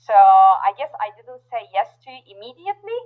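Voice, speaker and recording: A woman's voice: medium pitch, big pitch swings, fairly quick. Woman with a background on a tinny phone line. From Turkey. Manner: hesitant, many fillers and restarts. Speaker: guest co-author, health coach. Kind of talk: casual interview chat.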